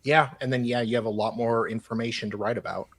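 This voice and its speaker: A man with a husky voice chatting casually in brief, low-key interjections. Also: fairly even intonation, fast. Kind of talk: casual chat.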